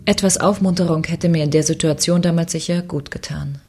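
A woman playing a bitter, lonely space police inspector in a science fiction audio drama, with a crisp, sardonic and reproachful manner. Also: low-pitched, steady tone, brisk, darkish tone, soft background music. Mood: rueful.

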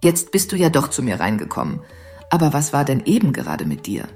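An actress playing a worldly, flirtatious madame who reads dreams, teasing and in control, in a radio play. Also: female voice, extremely low pitch, wildly swooping pitch, brisk, darkish tone, faint music behind, heavily acted. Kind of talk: audio drama dialogue.

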